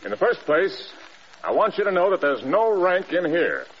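An actor playing a stern, demanding American air force general in clipped, blunt classic radio drama style. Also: male voice, sits high, big pitch swings, moderate pace, breathy edge, exaggerated voice. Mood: brisk, commanding. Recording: dull, band-limited old radio recording.

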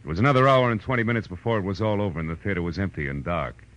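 An actor playing a wry, world-weary private detective in 1940s radio noir style, with a dry, hard-boiled manner. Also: male voice, low-pitched, animated, rapid-fire, fairly bright tone. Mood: wry, brisk. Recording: muffled old radio recording, faint music.

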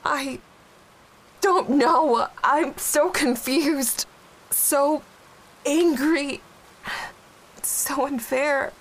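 A woman acting a grieving, guilt-ridden young widowed mother in an audio drama, halting and emotionally raw. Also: high-pitched, rough recording. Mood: anguished, tearful. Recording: clear background hiss.

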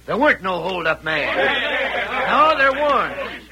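An actor playing an excitable, aggrieved stagecoach man with folksy cowpoke talk in an old radio western. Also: high voice, a little slow, crowd noise. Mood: reluctant, aggrieved. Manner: folksy cowpoke dialect, ungrammatical. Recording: slightly noisy old radio recording.

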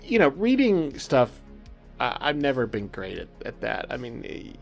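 Male podcast host, mid-pitched, informal and conversational, over background music. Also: wildly swooping pitch, measured pace, creaky. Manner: informal, swearing, often laughing. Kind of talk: casual chat with some reading aloud. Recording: background music under speech.

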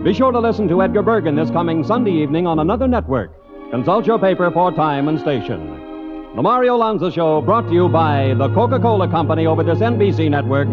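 An American man announcing a 1950s radio show from a script. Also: on the high side. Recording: dull vintage radio recording, loud music behind. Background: music.

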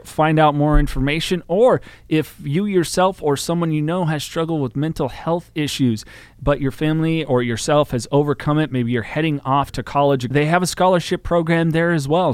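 Brief: male talk-show host, smooth resonant voice, dry close sound, loose and rambling. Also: a little high, no echo. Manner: chatty, rambling, plugs sponsors. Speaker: podcast host. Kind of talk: casual interview chat. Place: soundproofed studio.

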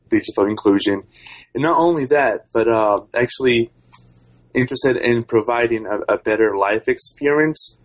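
A man's voice: on the low side, rough recording. A young man from Brazil, giving conversational answers as an interview guest and researcher. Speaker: podcast guest, doctoral researcher.

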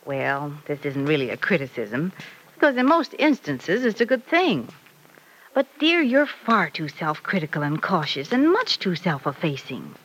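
A middle-aged mother in an old radio serial, advising her grown daughter. Her pitch swoops and dips expressively as she gently probes and coaxes with measured patience. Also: big pitch swings, slightly rough, heavily acted, poor audio. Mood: earnest, coaxing.

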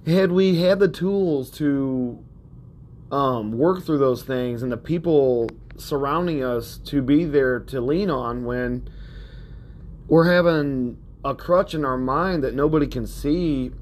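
Chatty podcast host man, fairly high voice. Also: slight background noise. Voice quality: soothing. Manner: rambling, conversational, many fillers. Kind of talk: casual self-help podcast talk.

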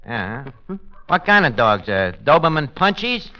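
A muffled, noisy vintage radio recording carries a wisecracking, rough-edged comic regular guy. His voice sits high and swings around a lot, with colloquial, stumbling phrasing in 1940s comedy style. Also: sing-song, measured pace, bright, forceful tone, full character voice. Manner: hesitant, colloquial, malapropism-prone. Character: Archie, wisecracking, unrefined tavern man who mangles words. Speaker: comic host of a radio show.

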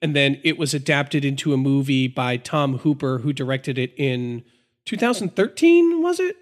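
An American man co-hosting a podcast in casual chat, sounding congested, a little nasal and gravelly from a sinus infection, with a cheeky, upbeat way of talking. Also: medium pitch, sing-song, moderate pace. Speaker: podcast co-host.

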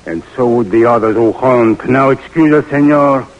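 An actor playing a guarded, evasive foreign local who speaks halting, formal English, in 1940s radio drama style on a dull old recording. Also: male voice, mid-range voice, natural voice. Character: guarded, evasive foreign local contact, courteous but curt.

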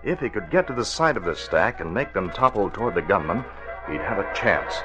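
A man narrating a 1940s radio drama as its announcer, in a dramatic, measured scripted delivery. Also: on the low side, animated, lo-fi sound, over music.

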